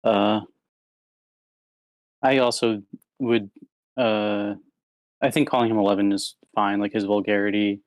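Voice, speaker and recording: A man's voice: on the low side, flat delivery, measured pace, pauses often, no echo, muffled recording. A man giving casual spoken critique as a participant, in a diplomatic, hedging manner, on an online meeting microphone. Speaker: participant giving script feedback.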